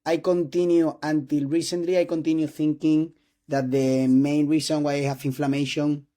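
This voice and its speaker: Spanish-accented young man, higher voice. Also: level tone, dark tone. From Spain. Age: 20s. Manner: halting non-native English with restarts and throat clearing. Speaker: host interviewing a doctor. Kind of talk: casual interview talk.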